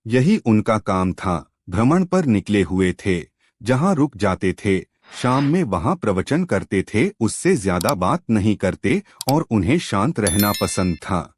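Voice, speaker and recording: A man telling a moral story in an unhurried, expressive way. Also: fairly low pitch, breathy edge, no room sound.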